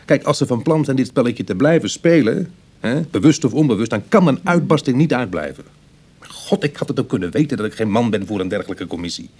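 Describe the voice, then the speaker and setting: A man's voice: mid-range voice, animated, fairly quick, a little mellow, breathy edge. An actor playing a self-deprecating ex-burglar in his thirties, talking dryly and colloquially in a Dutch radio play. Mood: uneasy, wry. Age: 30s.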